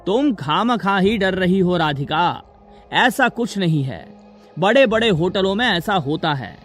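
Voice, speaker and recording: A man's voice: high-pitched, lively intonation, a little slow, fairly bright tone, soft background music, full character voice. A man playing a husband and father in a children's cartoon story, earnest and emphatic. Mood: reassuring. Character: reassuring husband and father, alarmed under threat.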